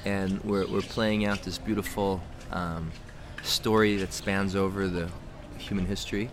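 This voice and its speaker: A man's voice: low voice, level tone, unhurried. A man from the American Midwest, speaking as a guest in a reflective, spiritual, rambling way during a casual outdoor talk. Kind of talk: casual conversation. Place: outdoors in a city. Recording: noisy outdoor street recording.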